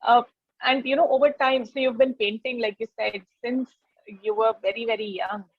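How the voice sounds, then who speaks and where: A woman with an Indian accent hosts an interview in a slightly high voice with a husky edge. The sound is dry and somewhat dull, as in a lo-fi recording. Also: steady tone, moderate pace, dry recording. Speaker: interview host. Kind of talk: conversational interview hosting with scripted introduction.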